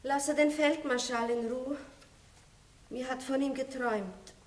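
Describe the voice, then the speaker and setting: Posh noble lady, high voice, slow and languid, teasing and tender, old roomy radio drama. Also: darkish tone. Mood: dreamy, uneasy. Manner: languid, affectionate, aristocratic stage diction. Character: elegant aristocratic lady, indulgent, teasing, tender older lover. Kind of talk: 1960s German-language radio play acting.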